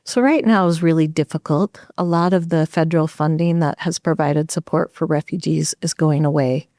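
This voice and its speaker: An earnest, upbeat woman explains things at length on a podcast. Her voice sits a little low and sounds mellow. The room is dry and close-miked. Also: normal speed, no echo. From United States. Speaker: executive director of a refugee nonprofit, podcast guest. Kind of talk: conversational podcast interview.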